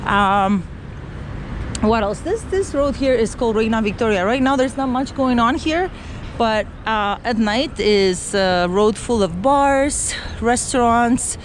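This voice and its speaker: A woman's voice: fairly high pitch, expressive, measured pace. Chatty middle-aged woman from Romania walking outdoors. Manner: chatty, rambling, with many fillers and restarts. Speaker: travel vlogger. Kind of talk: casual walk-and-talk vlog. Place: city street outdoors. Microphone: handheld camera while walking. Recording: outdoor street noise and traffic.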